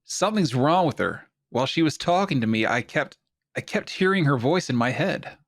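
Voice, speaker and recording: A man's voice: medium pitch, sing-song, normal speed, no room sound, clean studio sound. A man playing an earnest, troubled doctor in a science fiction audio drama, speaking with urgent, pleading insistence. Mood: troubled, insistent.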